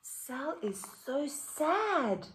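Slow, highly expressive woman teacher reading aloud. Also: poor audio. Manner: expressive, exaggerated, with questions to listeners. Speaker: teacher reading to her class. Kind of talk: children's read-aloud with asides to young pupils. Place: living room at home.

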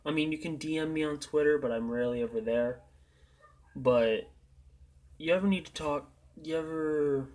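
A young man with a hoarse, worn-out voice, chatting over his own gameplay. He rambles and trails off in a tired, easygoing way. Casual commentary with a loose, unscripted feel. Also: medium pitch. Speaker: gaming channel host.

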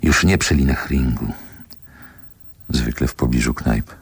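An actor playing a nostalgic narrator in a radio play, measured, lyrical and reflective. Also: male voice, a bass voice, slightly rough, dry recording, heavily acted. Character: male narrator, nostalgically recalling a Warsaw boyhood. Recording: music behind the narration.

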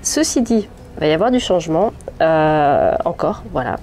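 A woman's voice: deep voice, lively intonation, moderate pace, dark tone, slight background noise. A woman in her thirties with a head cold, chatting casually with many fillers and restarts as she vlogs a tour of her balcony plants. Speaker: gardening vlogger. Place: small sunny balcony outdoors. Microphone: phone held at arm's length.

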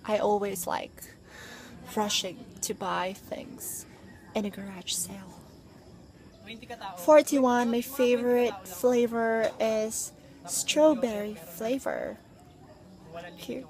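A woman's voice: medium pitch, vocal fry, dark tone. A young woman, filming herself outdoors on a phone held at arm's length and chatting playfully through a list of facts about herself, with teasing asides and giggles. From Philippines. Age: young adult. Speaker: vlogger. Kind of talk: casual chat listing facts about herself. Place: outdoors among trees. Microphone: phone at arm's length with earphones.

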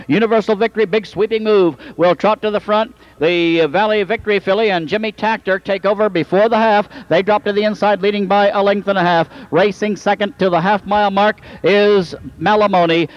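A man announcing a harness race, introducing the field and then calling the running in a rapid rhythmic style that builds toward the finish. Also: high voice, highs cut off.

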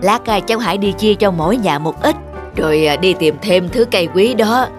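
A woman voicing a gentle, frail old peasant grandmother in an animated fairy tale, speaking tenderly and haltingly. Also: medium pitch, lightly acted. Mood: tender, grateful. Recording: music under the voice.

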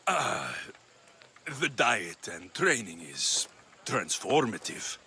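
A man's voice: lowish voice, sing-song, slow pace, bright, forceful tone, a little hiss or hum, full character voice. A man playing a confident, dry-witted Greek mercenary warrior in a video game, speaking in a blunt, wry way. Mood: dry, determined. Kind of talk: video game cutscene acting.

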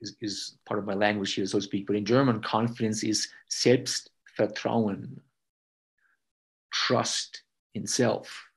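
A man's voice: on the low side, flat delivery, takes it slowly, breathy edge. A man in his thirties from Austria, a podcast guest explaining ideas emphatically over a video call, often checking in with "right?". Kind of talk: conversational explanation. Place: indoor room at home.

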